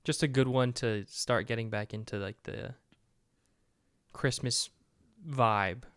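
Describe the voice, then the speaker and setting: A young man, a student, co-hosting a podcast in relaxed, easygoing casual chat. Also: medium pitch, takes it slowly, smooth, no room sound, studio quality. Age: young adult. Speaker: podcast co-host.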